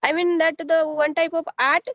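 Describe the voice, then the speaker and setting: A woman's voice: very high voice, level tone, normal speed, gravelly, fairly bright tone, no echo. A woman from Odisha whose first language is Odia, chatting in simple, careful English as a practice conversation. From Odisha, India. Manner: simple, careful non-native phrasing. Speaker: guest, advocate.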